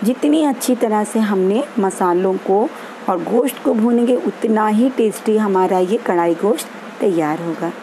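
A woman hosting a home cooking channel, calmly explaining each step of a recipe in an easygoing, instructive way. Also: mid-range voice, a little slow, slight background noise, low-quality recording. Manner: easygoing and instructive, repeats steps. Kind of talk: home cooking tutorial voice-over. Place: home kitchen.

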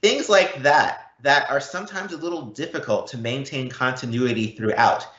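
A man giving a live software demo in a conversational, explanatory way. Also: medium pitch, normal speed. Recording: dull lo-fi, roomy web stream audio.

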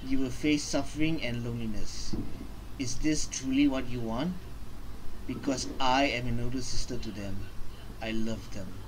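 A man's voice: medium pitch, normal speed, noisy background. A young man, a gaming streamer, reading game text aloud and casually commenting as he plays. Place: indoor room. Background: game music.

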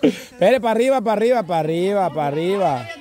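A man's voice: high-pitched, animated, fast talker. A man with a Salvadoran accent hosting and refereeing outdoor games, teasing and urging players on with repeated phrases. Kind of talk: lively game commentary and banter. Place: outdoor park with dirt ground and trees. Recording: outdoors amid a loud crowd.

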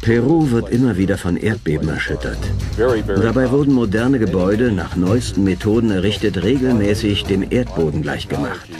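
A man with a fairly low voice gives expert commentary as a documentary voice-over. Music is clearly heard behind him. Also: lively intonation, moderate pace. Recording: music under the voice. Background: background music.

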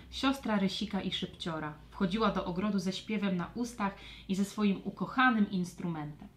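A woman narrating a scripted children's audio story with expressive, storybook delivery. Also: fairly low pitch.

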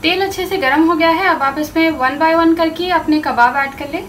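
A woman host giving calm step-by-step recipe instructions in a voice-over, mixing in English cooking words. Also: very high voice, moderate pace. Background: background music.

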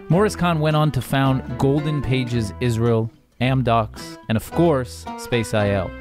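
A man in his thirties hosting a podcast, reading an upbeat, emphatic sponsor spot and introducing a guest. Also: medium pitch. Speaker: podcast host. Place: home studio with bookshelves. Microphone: studio mic on boom arm, headphones. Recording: music under the voice.

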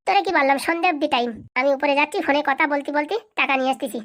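Woman acting a rapid, high-pitched bossy village boss lady. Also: a bit bright. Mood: commanding. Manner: blustering, commanding, boastful. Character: bossy, threatening village woman politician and deal-maker. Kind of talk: comic cartoon dialogue.

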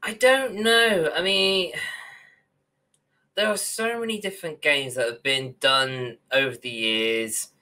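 A man in his twenties or thirties co-hosting a casual podcast over a video call, rambling and animated. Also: on the high side, takes it slowly. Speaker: podcast co-host. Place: small room at home, on a video call.

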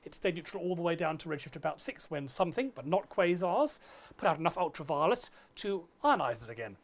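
A man's voice: fairly high pitch, animated, a little fast. A middle-aged man lecturing on science in a conversational way, explaining step by step. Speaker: astronomy lecturer. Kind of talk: conversational science lecture in a two-person dialogue.

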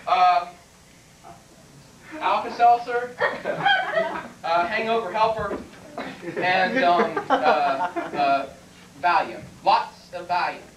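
A man's voice: high voice, slow, pauses often, over a noisy crowd. A young man playing a nervous, evasive, blustering patient in an amateur comic stage play, stammering and protesting. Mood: flustered, eager. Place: classroom stage before small audience, far camera. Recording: distant, echoey, noisy camcorder in classroom.

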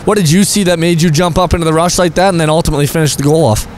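A man's voice: a little high, racing, a little mellow, a little hiss or hum. A young man hosting a postgame interview beside an indoor hockey rink, holding a handheld mic. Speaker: team broadcaster interviewer. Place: indoor hockey arena rinkside.

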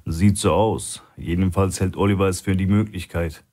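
Man acting a terse, deep-voiced male operative. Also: fairly even intonation, moderate pace, dark tone. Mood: alert, serious. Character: alert, level-headed male operative scouting a threat.

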